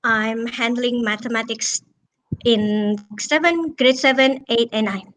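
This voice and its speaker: Slow, halting Indonesian woman on headset. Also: a little high, fairly bright tone. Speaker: guest mathematics teacher. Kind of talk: informal explanation on a live webinar. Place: indoor room, video call.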